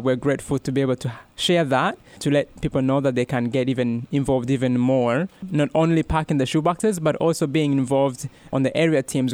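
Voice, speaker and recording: A man's voice: mid-range voice, a little mellow, no echo. A man in his thirties giving an earnest, heartfelt personal testimony as a guest in a radio interview, with frequent restarts. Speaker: radio guest, ministry worker.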